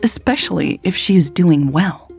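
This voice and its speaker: Woman voicing weary woman, dry, sighing, pressured, low gravelly voice, audio drama. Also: lively intonation, moderate pace, a little mellow, faint music behind. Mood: firm, dry. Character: Maya, weary dry-witted woman, pressured but loyal.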